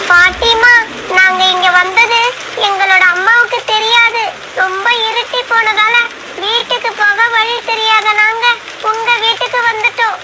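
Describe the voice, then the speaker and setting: A small, sensible young bird in a children's cartoon story. The voice is extremely high and childlike, with an earnest manner. Loud rainy background noise runs under it. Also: female voice, little pitch movement, a little slow. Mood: apologetic. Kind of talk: animated children's story voice acting. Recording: noisy track with loud rain ambience.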